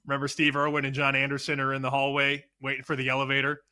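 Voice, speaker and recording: A man co-hosting a sports betting show, talking casually with deadpan humor. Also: medium pitch, fast talker, clean tone. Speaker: podcast co-host.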